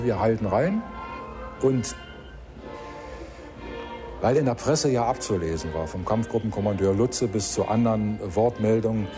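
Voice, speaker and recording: A man reflects on his experience in careful, formal sentences. His voice is on the low side, and music plays clearly behind it. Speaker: eyewitness. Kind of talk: reflective interview recollection.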